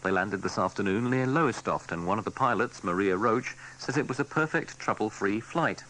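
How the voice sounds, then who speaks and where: A man's voice: on the low side, fairly quick, fairly bright tone, poor audio. A man reading a scripted radio news bulletin. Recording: archive radio tape, faint noise.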